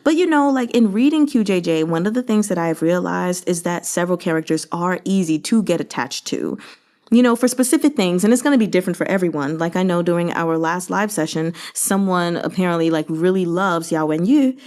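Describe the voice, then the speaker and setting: Low-pitched woman chatting casually about a book. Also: a little fast, darkish tone. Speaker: host of a book read-along channel. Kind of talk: casual commentary on a novel.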